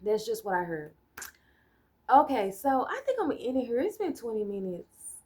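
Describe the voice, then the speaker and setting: Woman, American accent, a bit high-pitched, rambling, blunt, swears, checks in with "right?". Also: sing-song, normal speed, darkish tone. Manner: rambling, loses her train of thought, blunt and profane; talks things through with hypotheticals and "right?" checks. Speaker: solo vlogger. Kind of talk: casual political rant.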